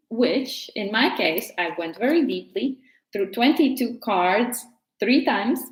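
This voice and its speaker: A woman with a Latvian accent, a tarot teacher talking gently and unhurriedly in a casual vlog, sometimes pausing to find her words. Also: medium pitch, echoey room, rough recording.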